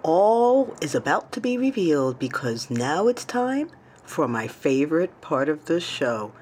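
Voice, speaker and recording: Bubbly woman radio host with lively pitch. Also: a little slow, a little hiss or hum. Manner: bubbly and animated, cheering and gushing. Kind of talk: casual radio hosting.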